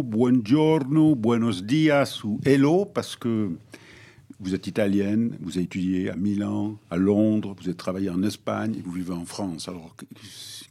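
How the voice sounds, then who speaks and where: Male moderator, slightly low voice, lively and playful. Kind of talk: panel moderation.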